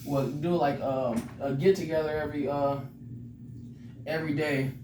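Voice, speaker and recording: A man's voice: fairly high pitch, level tone, normal speed. Male podcast host, 20s, American, slangy and repetitive, casual interview style, roomy noisy recording. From United States, born in California, raised in Arizona. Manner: slangy, heavy cursing, often repeats phrases. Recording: roomy, distant mic, clear background noise.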